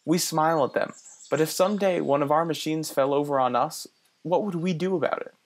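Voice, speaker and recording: An actor playing an earnest young man from the city who muses aloud and stammers a little when he is unsure. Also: fairly high pitch. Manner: earnest, halting with small stammers. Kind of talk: radio play acting.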